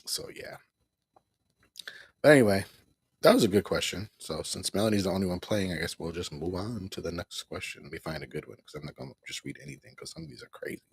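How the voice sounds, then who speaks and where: A middle-aged man talks loosely on a home livestream, circling back over the same points and chuckling now and then. His low voice is husky and gravelly and swings up and down a lot. The sound is close and dry. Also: expressive, fast talker, no echo. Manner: rambling, repeats himself, chuckles often. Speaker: livestream host. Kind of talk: casual live broadcast chat.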